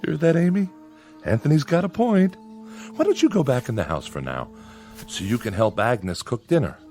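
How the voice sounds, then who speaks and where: A man's voice: medium pitch, very animated, moderate pace, darkish tone, lightly acted. An actor playing a nervous, falsely cheerful father in a radio drama, soothing and placating as he talks. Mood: nervous, cajoling. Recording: music under the voice.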